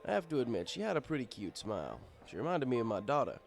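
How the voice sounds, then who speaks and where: A fatherly male pony bartender , voiced by a man narrates his own audiobook tale. He is easygoing and wry, with an unhurried conversational delivery at a middle pitch. Faint music plays behind him. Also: very animated. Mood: reflective. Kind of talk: first-person audiobook narration in character.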